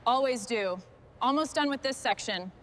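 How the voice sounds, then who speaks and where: A woman's voice: on the high side, normal speed, noisy background. A woman working as a mechanic, giving short hands-on commentary on her work as she goes. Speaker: mechanic narrating restoration work.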